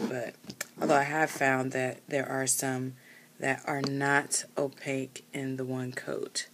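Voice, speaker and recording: Woman, 30s, low pitch, hesitant casual reviewer, small room, desk cam. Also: slight background noise. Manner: rambling, hesitant, many fillers and self-corrections. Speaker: nail polish reviewer. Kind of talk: casual product review while painting nails.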